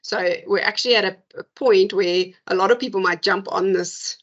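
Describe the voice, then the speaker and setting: A woman's voice: medium pitch, normal speed. A woman hosts a seminar over a video call, her voice somewhat dull and lo-fi. She talks in a chatty way, thinking aloud with fillers as she discusses research and wraps up. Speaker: seminar host. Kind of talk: academic discussion and closing remarks.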